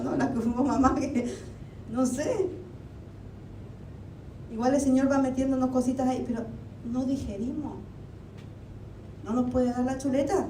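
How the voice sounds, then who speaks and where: A woman from Argentina preaching a sermon to a church, chatty, with many rhetorical questions. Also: warm tone. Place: church hall. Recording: echoey hall, mic at a distance, faint rumble.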